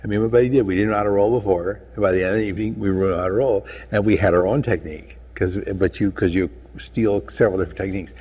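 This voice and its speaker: A man guesting on a talk show, talking in a laid-back, halting, meandering way. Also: fairly low pitch, a little mellow, slightly rough. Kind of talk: casual chat.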